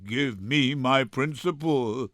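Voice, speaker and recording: A man's voice: medium pitch, takes it slowly, fairly bright tone, no echo, studio quality, pitched below the performer's own voice. A man playing a bitter, vengeful older moneylender in a dramatic Shakespeare reading, grudging and emphatic. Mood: thwarted, bitter.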